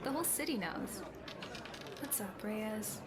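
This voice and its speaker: A woman playing a casual, teasing but concerned tavern server in an ASMR roleplay, gently probing as she talks. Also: medium pitch, animated, a little slow. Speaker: guest voice actor in an audio roleplay. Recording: busy crowd chatter behind.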